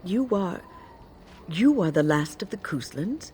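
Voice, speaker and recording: An actress playing a kindly, wise older female mage in a fantasy video game, speaking gently in measured, consoling phrases. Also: fairly low pitch, lively intonation, soft background music. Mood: gentle, consoling.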